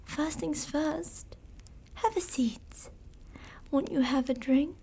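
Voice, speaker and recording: A woman's voice: high-pitched, mellow. A woman playing a sadistic, possessive vampire noblewoman in an ASMR roleplay, teasing and archly courteous, at times slipping toward a whisper. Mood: seductive, teasing. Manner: slow, teasing, mock-courteous, archly formal, drifting into whispers. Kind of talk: ASMR roleplay, intimate scripted monologue.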